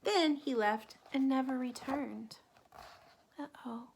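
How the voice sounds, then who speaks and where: Female storytime reader, higher register, gentle and questioning, teacher-like delivery for young listeners, some background noise. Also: vocal fry. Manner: gentle, pausing to ask questions and point things out. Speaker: teacher reading a picture book aloud to children. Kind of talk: children's read-aloud with questions to the listener.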